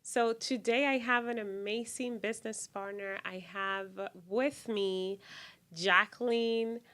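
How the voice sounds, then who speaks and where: Woman host, bright edgy tone, gushes and rambles through questions. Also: on the high side, fairly even intonation, takes it slowly. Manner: effusive, rambling questions with fillers. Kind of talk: casual interview hosting.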